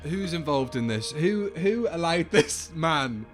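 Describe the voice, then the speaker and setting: A man hosting a podcast commentary in a casual, halting, sweary way, often correcting himself. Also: fairly high pitch, expressive, faint music behind. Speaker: podcast host.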